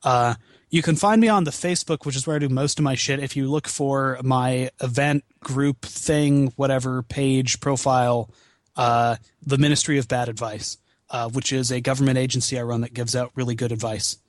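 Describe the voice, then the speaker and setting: A man's voice: medium pitch, steady tone, moderate pace, dry recording. Wry, sweary man chatting casually, dry sound. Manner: profane, self-deprecating, wry. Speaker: podcast guest, video game marathon founder. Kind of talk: casual podcast chat about video games.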